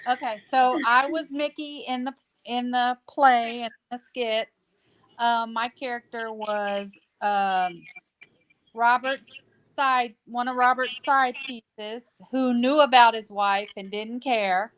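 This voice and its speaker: A woman's voice: on the high side, steady tone, slow pace, fairly bright tone, rough recording. A woman from Ohio in a casual podcast chat, loosely telling about her stage role, laughing often. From Ohio, United States. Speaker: podcast guest, cast member.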